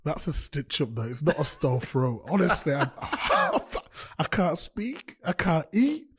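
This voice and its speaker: Hoarse man who has lost his voice. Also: a little high, wildly swooping pitch, unhurried. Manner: croaky, plaintive. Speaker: pundit. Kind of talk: casual chat.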